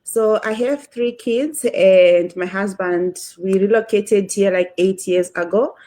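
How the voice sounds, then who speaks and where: A woman's voice: mid-range voice, slow, warm tone, a little hiss or hum. A woman from Zimbabwe, an entrepreneur, telling her story fluently on a video call with many "you know"s. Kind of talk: self-introduction.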